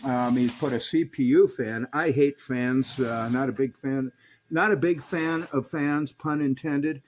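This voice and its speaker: A man with a mid-pitched voice speaks as a repair technician walking through a job. His delivery is informal and conversational, with dry, disbelieving asides dropped into the technical detail. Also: fairly even intonation, unhurried, slight background noise. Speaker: radio repair technician and channel host.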